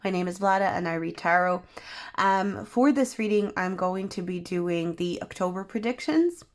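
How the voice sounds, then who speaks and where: A young woman reading tarot cards for her viewers, her voice worn and hoarse from being unwell, talking in a soft, meandering way with many pauses. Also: fairly low pitch, animated. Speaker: tarot reader. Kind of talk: pick-a-card tarot reading.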